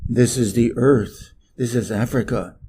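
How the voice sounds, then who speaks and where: American man voicing a slow, low desert snake. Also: dark tone, slightly rough. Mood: calm, solemn. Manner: slow, drawn-out, solemn. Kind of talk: storybook character voice.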